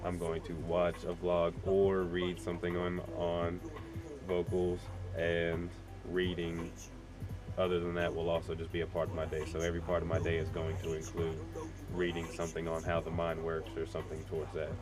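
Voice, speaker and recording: Low-voiced man rambling over loud music. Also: monotone, moderate pace. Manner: profane, slangy, self-deprecating, thinking aloud. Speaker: vlogger, amateur music producer. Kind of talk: casual, rambling vlog talk.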